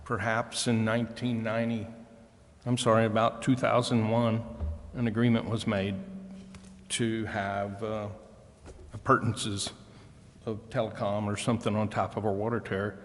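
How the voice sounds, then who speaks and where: Reverberant male council member, halting delivery. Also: fairly low pitch, little pitch movement. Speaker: city council member at a public meeting. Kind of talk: deliberative questioning at a formal meeting. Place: council chamber. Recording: echoey hall with long reverb.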